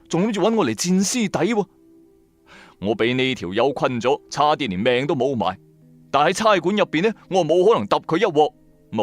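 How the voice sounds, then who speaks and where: A man with a Cantonese accent narrating a supernatural tale in the first person, dramatic, colloquial and expressive. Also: mid-range voice. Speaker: storyteller narrator.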